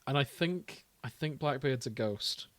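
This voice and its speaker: A man's voice: medium pitch, unhurried, fairly bright tone, dry recording. A British man co-hosting a podcast, chatting casually about fan fiction. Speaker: podcast co-host.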